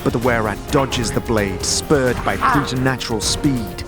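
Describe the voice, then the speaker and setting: A man with a medium-pitched voice narrates a fantasy audio drama. His delivery is dramatic, scripted storytelling addressed to the listener, set over loud atmospheric music. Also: moderate pace. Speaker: narrator and game master.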